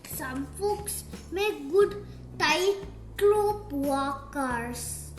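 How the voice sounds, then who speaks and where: A young girl reading a rhyming picture book aloud in a deliberate, sing-song storytime style. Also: high voice, expressive. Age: child. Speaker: young reader of picture books. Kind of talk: read-aloud storytime narration of rhyming verse. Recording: roomy echo, music playing behind. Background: music.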